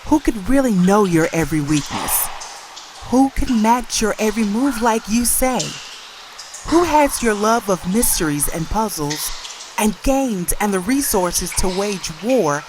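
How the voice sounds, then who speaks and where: Worried, pleading female lover acted by a woman, unhurried and earnest, comic-book drama, noisy backdrop. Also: medium pitch, animated, lightly acted. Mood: confronting, urgent.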